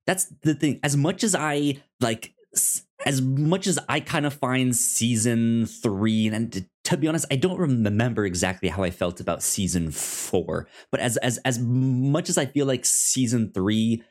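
A man co-hosting a casual TV review podcast, talking in a halting way with frequent stammers and restarted phrases. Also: mid-range voice, darkish tone. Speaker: podcast co-host.

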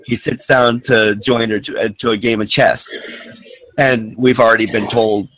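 A man hosting a casual fan podcast, rambling with lots of fillers and restarts, his voice getting hoarse. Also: on the low side, level tone, normal speed, a bit bright, low-quality recording. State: losing his voice. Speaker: podcast host.

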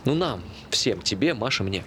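An actor playing a calm, practical man who speaks laconically and asks probing questions in a naturalistic stage play. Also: on the low side, moderate pace, a little hiss or hum. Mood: persuading.

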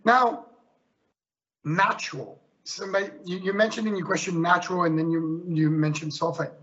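A high, bright-voiced man from Switzerland speaks with passion and emphasis on a panel. His tone is husky, and the recording sounds dull and lo-fi. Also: fairly even intonation, normal speed. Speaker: winemaker. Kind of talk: panel discussion.

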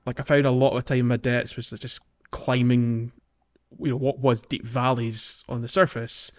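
A man's voice: mid-range voice, little pitch movement, moderate pace, dull audio. A man co-hosting a casual podcast about a video game, talking in a loose, chatty way with many fillers and restarts. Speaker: podcast co-host.

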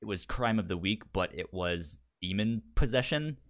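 Stuttering man with a low-pitched voice. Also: expressive, moderate pace, a bit bright. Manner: stutters, repeating sounds and syllables. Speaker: podcast co-host. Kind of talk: casual discussion of a TV show.

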